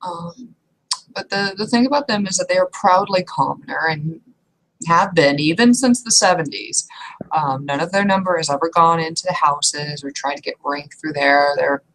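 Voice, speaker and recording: A woman narrating a tabletop roleplaying game as game master in a halting, conversational, improvised way. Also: sits low, a bit bright, poor audio. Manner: halting, conversational, piecing descriptions together. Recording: clear background noise.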